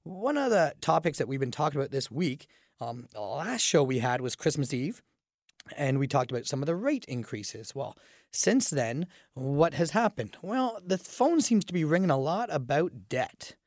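A man with a raspy voice from a cold hosts a casual radio talk show, chatting with fillers and restarts. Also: fairly high pitch, sing-song, normal speed, dry recording, clean studio sound. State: fighting a cold, groggy. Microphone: studio microphone.

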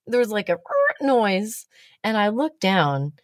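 A woman's voice: medium pitch, expressive, slow, dry recording, clean studio sound. A woman hosting a casual chat, telling stories about herself with blunt, self-mocking humor and the odd swear word.

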